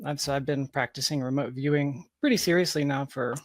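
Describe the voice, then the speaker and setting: A man's voice: medium pitch, a little fast, dry recording, clean studio sound. A man in his thirties from Georgia giving reflective, explanatory answers as a guest in a conversational interview. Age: 30s. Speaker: podcast guest, software developer.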